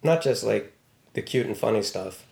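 A man's voice: on the low side, expressive, moderate pace, gravelly, darkish tone, reverberant. A man from Baltimore, talking casually and thoughtfully as an interview guest, with a wry tone and many fillers. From Baltimore, USA. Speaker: news writer, guest.

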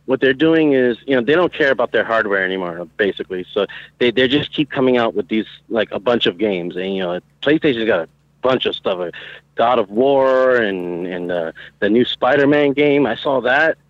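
Dull lo-fi male caller, halting. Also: medium pitch, expressive, no echo. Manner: halting, rambling delivery. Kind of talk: casual chat. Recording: dry, dull lo-fi call audio.

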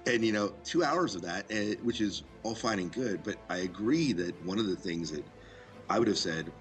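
A man with a very low voice hosts a podcast. He keeps it casual and conversational, asking questions over faint music. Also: animated, normal speed. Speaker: podcast host and interviewer.

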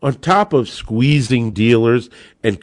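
A man's voice: mid-range voice, expressive, slow, no echo, studio quality. A man hosting a podcast as an attorney, speaking in an emphatic, opinionated monologue.

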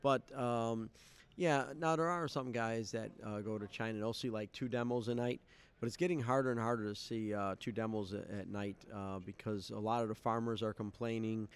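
Man, podcast co-host, halting speech, lots of fillers, relaxed chat. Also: lowish voice, smooth. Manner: halting, many filler pauses. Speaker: podcast co-host and fireworks importer. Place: convention hotel room.

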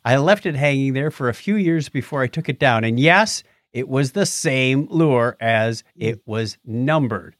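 A man chats casually as a podcast host in an animated voice that moves freely up and down. The recording is dry and close, and his delivery is wry and jokey, with a techy bent. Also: mid-range voice, a little slow, hardly any pauses, no echo.

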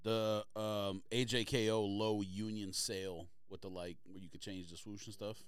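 A man's voice: fairly low pitch, flat delivery, moderate pace, clear, resonant tone. A man co-hosting a podcast in casual debate, insistent and argumentative. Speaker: podcast co-host.

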